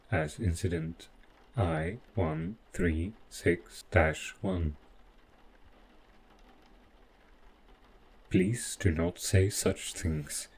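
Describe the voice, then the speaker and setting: A man playing an overprotective robot butler gone rogue, speaking with formal, measured politeness in a scripted audio roleplay, calm and possessive. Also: deep voice, breathy edge, heavily acted. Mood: polite, unyielding.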